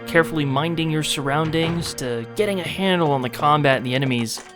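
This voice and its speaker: A man hosting a video game retrospective, giving informal, mildly sweary commentary. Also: fairly high pitch.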